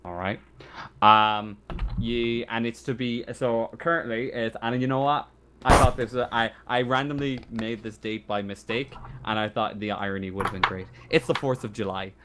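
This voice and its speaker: A man's voice: medium pitch, fairly even intonation, heavy background noise. A man speaking as game master of a tabletop game, unscripted, hesitant and often correcting himself. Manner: hesitant, halting, self-correcting.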